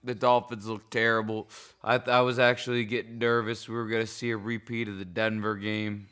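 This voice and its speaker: A tipsy man with a worn-out, cracking voice rants about a football game, swearing often and wandering off track. His tone is bright and forceful, and his voice stays smooth and clear beneath the hoarseness. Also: fairly low pitch, level tone, brisk. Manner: profane, heated, rambling. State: hoarse, intoxicated. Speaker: sports fan vlogger. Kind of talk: casual game reaction rant.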